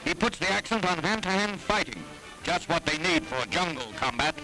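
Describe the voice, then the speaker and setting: A man's voice: high voice, lively intonation, normal speed, gravelly, rough recording. An American man narrates a 1940s newsreel in a raised, declamatory voice. His delivery is dramatic and scripted, with music playing behind him on an archival recording.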